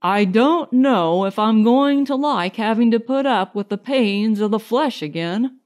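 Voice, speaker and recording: A woman voicing a lonely, yearning man without a body in audiobook dialogue, earnest and halting. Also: a little high, expressive, darkish tone. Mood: relieved, wondering. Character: lonely bodiless man, anxious, yearning, emotional.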